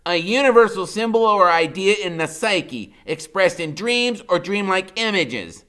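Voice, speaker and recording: A man's voice: sits high, lively intonation, a little slow, bright, forceful tone, clean tone, pitched above the performer's own voice, full character voice. A man voicing a bossy, bookish, theatrical boy genius in a children's novel, exclaiming with pompous impatience. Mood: exasperated. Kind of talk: children's novel character reading.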